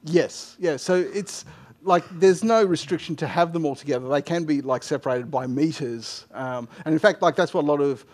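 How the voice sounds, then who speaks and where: A middle-aged man answering technical questions informally as a conference speaker, in a halting way with frequent false starts. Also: a little high, darkish tone. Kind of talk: informal technical Q&A after a talk. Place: indoor lecture room, at a lectern. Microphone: headset microphone.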